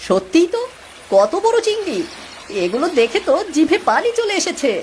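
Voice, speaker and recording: An actor voicing an eager, excited village child in a Bangla cartoon. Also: extremely high pitch, moderate pace, a little hiss or hum.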